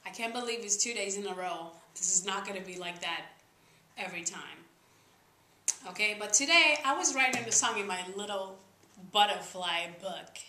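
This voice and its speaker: A woman's voice: mid-range voice, sharp tone, echoey room. A young woman from Spain with a Spanish accent, talking casually to camera about songwriting in earnest, slightly uneven English. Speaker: singer-songwriter vlogger. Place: small room indoors.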